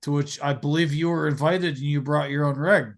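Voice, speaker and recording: An American man in his forties hosts a relaxed podcast chat, his voice a touch higher than most men's and roughened by a gravelly edge. He tells stories in an animated way, swears freely and trips over repeated words as he goes. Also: a little fast. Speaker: podcast host. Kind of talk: casual storytelling chat.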